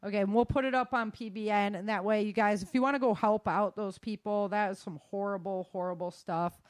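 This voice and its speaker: A woman's voice: mid-range voice, steady tone, a little fast, dry recording. A woman hosting a podcast, running through news in a casual, conversational way and speaking informally to listeners. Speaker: podcast host. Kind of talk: casual news roundup on disasters and weather.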